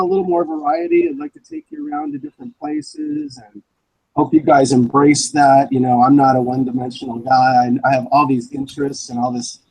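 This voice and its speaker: A man chatting as a guest on a live stream over a video call, relaxed and hesitant. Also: fairly high pitch, vocal fry, slightly rough, low-quality recording. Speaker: guest art reseller. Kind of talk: casual live-stream chat.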